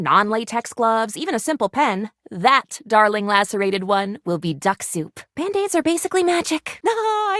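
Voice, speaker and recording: Perky, high-pitched, eccentric lady doctor. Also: fairly bright tone, no echo, studio quality. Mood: giddy. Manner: gushing, old-fashioned, melodramatic phrasing. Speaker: video game voice actor. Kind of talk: animated video game character acting.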